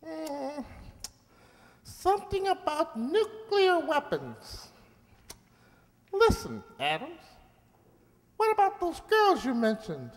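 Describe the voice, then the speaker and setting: A woman's voice: high voice, big pitch swings, slow, long pauses, full character voice. A woman playing a brash, tipsy male talking mouse out for a good time in a radio play. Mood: tipsy, wheedling. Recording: reverberant hall, stage-like echo.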